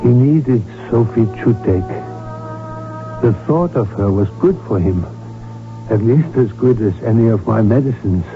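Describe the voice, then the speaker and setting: A man playing a loyal, measured and slightly wry court physician in an old-time radio drama. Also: medium pitch, animated. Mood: wry, reflective. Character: loyal, measured court physician recalling his royal patient. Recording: muffled old radio broadcast, music behind.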